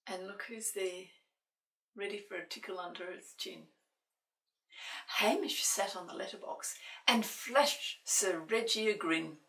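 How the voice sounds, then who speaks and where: A woman's voice: mid-range voice, measured pace, creaky, pauses often, pushed and bright. An older woman presenting a children's storytime, reading a picture book in a playful sing-song way with questions to her young listeners. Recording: roomy echo, distant microphone.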